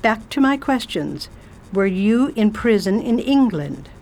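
A formidable spinster travel writer who gives orders and talks down to everyone, as a woman acts her. Her manner is crisp, condescending and brisk. Radio play acting. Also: mid-range voice, sing-song, a little mellow. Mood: stern. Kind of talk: amateur radio drama acting.

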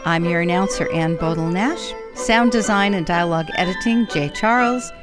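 Radio announcer: woman, lowish voice, theatrical scripted read, loud music underneath. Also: normal speed.

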